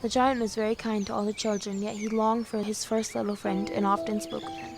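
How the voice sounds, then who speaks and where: A woman voices a young woman reading a fairy tale aloud, gentle and steady at a mid pitch. Soft music plays clearly beneath her reading. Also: brisk, close to an everyday voice. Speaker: storyteller within the drama. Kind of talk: audio drama.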